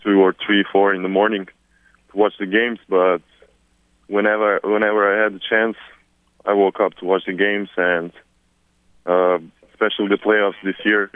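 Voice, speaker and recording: A young man from Serbia with a Serbian accent, answering reporters on a conference call, halting and repeating words with many fillers. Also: fairly low pitch, little pitch movement, clear, resonant tone, dry recording. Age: 20s. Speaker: newly drafted basketball player. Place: at home, far from the press. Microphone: telephone. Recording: tinny dry phone line.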